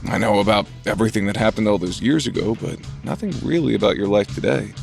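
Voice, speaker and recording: A very creaky, low male voice over faint music. a young TV journalist in an audio drama, earnest and friendly, talking in an easy conversational way. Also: animated, fast. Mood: candid, curious. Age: 20s.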